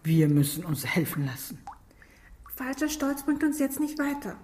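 A woman's voice: medium pitch, sing-song, moderate pace, darkish tone, a little hiss or hum, natural voice. A woman playing an anxious sister in a family drama for radio. She is terse and insistent, with faint ambience behind her. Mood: grave, insistent. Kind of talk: German radio drama acting.

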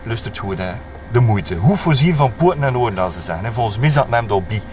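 An actor playing a flustered, long-winded local witness with a Flemish accent, halting and repeating himself. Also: male voice, fairly low pitch, animated, over music, natural voice. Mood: rambling, insistent. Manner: halting, repetitive, circles around the point.

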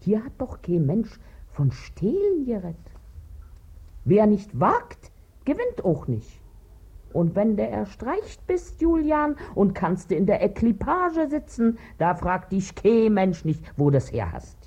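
Husky middle-aged Berlin washerwoman, swinging pitch, crafty, domineering, scolding and coaxing, old radio drama. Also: sing-song, slow pace, warm tone, lo-fi sound, exaggerated voice. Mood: nagging, scheming. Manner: bossy, scolding, wheedling, colloquial. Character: crafty, domineering working-class washerwoman, wife and mother. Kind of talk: 1950s German radio play acting.

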